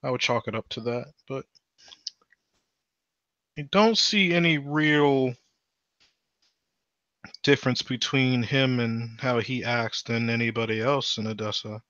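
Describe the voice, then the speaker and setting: Man doing a halting Turkish-accented bone merchant. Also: medium pitch, a bit bright, dry recording, lo-fi sound, natural voice. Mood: wary. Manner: halting, fumbling for words. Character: shuffling male undead bone merchant, gruff.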